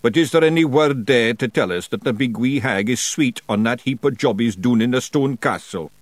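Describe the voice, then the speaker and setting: A man voicing a big, gruff blue fairy man with a Scottish accent and a blunt manner. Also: mid-range voice, moderate pace, no echo, pitched above the performer's own voice, close to an everyday voice.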